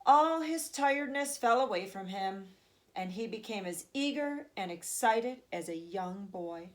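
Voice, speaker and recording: A woman narrating a children's storybook as a teacher would, with expressive, deliberate phrasing. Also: mid-range voice. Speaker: teacher reading aloud to third graders.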